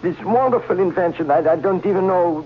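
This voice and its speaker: A man's voice: sits high, a little hiss or hum, lightly acted. A man playing a timid, fussy phony count. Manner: halting, overly formal, self-pitying. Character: timid phony male count inventor, foreigner. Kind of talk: 1940s radio acting. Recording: muffled old radio recording with music.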